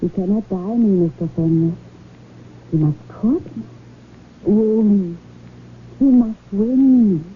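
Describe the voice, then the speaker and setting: A woman playing a haughty, regal royal highness in a 1970s radio drama, speaking in an imperious, formal, measured way. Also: close to an everyday voice. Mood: haughty, commanding. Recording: tinny, noisy old radio broadcast recording.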